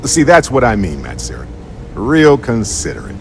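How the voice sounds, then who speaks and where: A man's voice: mid-range voice, huge pitch swings, moderate pace, vocal fry, soft background music. A man playing an unflappable, easygoing, joking space explorer in a video game, with a wry, rambling manner. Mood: cheerful banter. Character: wisecracking, unflappable, easygoing middle-aged male space explorer. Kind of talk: video game dialogue acting.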